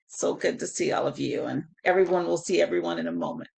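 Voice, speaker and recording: A woman with a husky, hoarse voice hosting a live Bible study in an informal, conversational way. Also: low-pitched, big pitch swings, fast talker, darkish tone. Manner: informal, conversational, greets listeners by name. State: hoarse, sore voice. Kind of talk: live Bible teaching, conversational with scripture reading.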